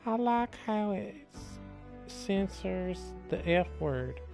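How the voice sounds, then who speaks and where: A man giving casual live gameplay commentary, musing aloud in a jokey, drawn-out way. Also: sits high, lively intonation, gravelly, darkish tone, faint music behind. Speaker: game streamer.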